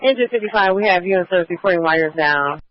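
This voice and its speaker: A man's voice: sits high, fast talker. A man working as a fire department radio dispatcher, reading back each unit's call in clipped, formal radio phrasing. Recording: muffled radio transmission.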